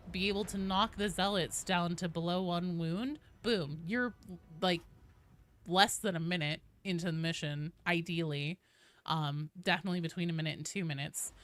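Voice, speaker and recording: Gamer woman live streaming, bright punchy voice kinda on the low side, casual and sweary. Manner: informal, sweary, gamer slang. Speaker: game streamer. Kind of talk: casual live gameplay commentary to chat and teammates.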